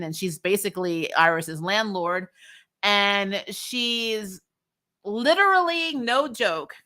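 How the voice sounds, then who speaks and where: A woman's voice: mid-range voice, bright, forceful tone. A woman hosting a recap show, reviewing in a casual, chatty, slangy way and animatedly retelling things. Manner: chatty, slangy, punctuated with laughter.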